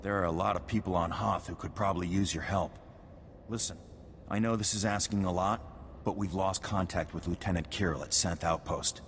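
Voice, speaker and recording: A man's voice: fairly even intonation, normal speed, a bit bright. A man playing an earnest, grave military officer in a science fiction video game. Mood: surprised, grateful. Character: earnest, grave Republic military commander at an ice outpost. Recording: boomy hall reverb, noisy game ambience.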